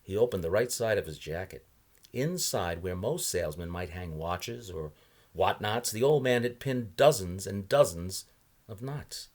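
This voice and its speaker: A man reading a story aloud as expressive scripted narration. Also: low voice, measured pace. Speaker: audiobook reader.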